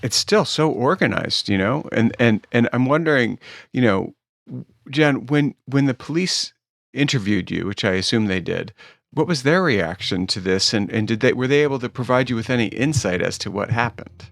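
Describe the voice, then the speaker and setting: A middle-aged man hosting a conversational interview, halting and repeating himself as he forms his questions. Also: mid-range voice, animated, vocal fry, dry recording, clean studio sound. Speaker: podcast host.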